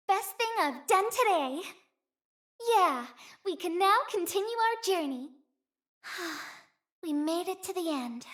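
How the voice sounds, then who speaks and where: A young female messenger from a video game. Her voice sits high and she takes her words slowly. She comes across as gentle, earnest and sincere, with a soft, measured delivery. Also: full character voice. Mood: cheerful, excited, then relieved, resolute. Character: gentle, earnest young woman messenger who can fly. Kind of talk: video game character voice lines.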